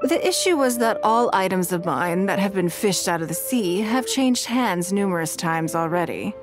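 A woman voicing a calm, shrewd, wealthy noblewoman in a game, speaking in a measured, commanding way, with music behind her. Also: medium pitch, close to an everyday voice. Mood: reflective. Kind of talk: game dialogue voice acting.

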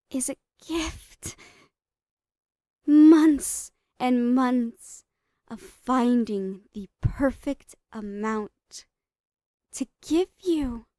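Obsessive psycho female doctor, horror audio roleplay. Soft dark tone, unhurried, doting, drifting into whispers. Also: sits high, no echo, studio quality. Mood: fervent. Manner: breathy giggling, cooing, slipping into whispers.

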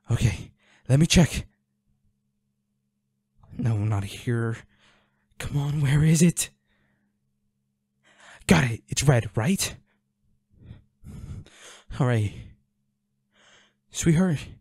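A man playing a devoted, anguished boyfriend in an intimate audio roleplay, pleading and halting with emotion. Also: mid-range voice, lively intonation, darkish tone, husky, no echo, heavily acted. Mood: urgent, reassuring. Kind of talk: intimate dramatic audio roleplay, one-sided dialogue.

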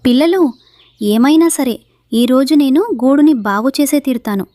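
A motherly female sparrow from a children's cartoon, voiced by a woman. She is earnest and caring, with a high, mellow voice and a dry, close sound. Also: normal speed, no room sound, natural voice.

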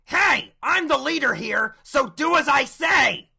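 A man voicing a brash, hot-headed crocodile gang leader with a gravelly, bossy, blustering delivery for a cartoon. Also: extremely high pitch, lively intonation, slow, noisy background, pitched above the performer's own voice, heavily acted. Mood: indignant, bossy.

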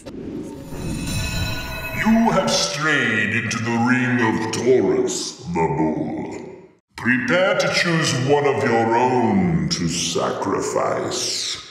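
An actor playing a solemn, ominous spirit guardian in a video game, speaking ceremonially. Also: male voice, medium pitch, very animated, very slow, a bit bright, full character voice. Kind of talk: video game creature acting. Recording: cavernous long reverb, far off, noisy.

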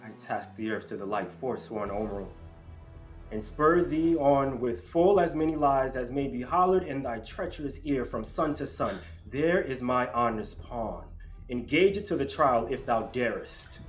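An actor playing a stern, vengeful, combative lord, declaiming in a Shakespearean staged reading. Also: male voice, mid-range voice, lively intonation, moderate pace, soft background music, close to an everyday voice. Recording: echoing room.

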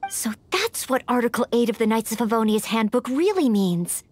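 A woman's voice: fairly high pitch, sing-song, moderate pace, darkish tone. A woman voicing an earnest, polite young female knight-in-training in an anime-style video game. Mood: earnest, thoughtful.

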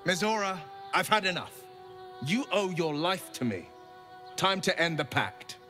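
Young fantasy game hero: earnest, indignant, higher-pitched, measured pace, over music. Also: male voice, animated, bright, forceful tone, heavily acted. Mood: defiant, weary. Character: defiant young male hero bound by devil's pact. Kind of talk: video game cutscene acting.

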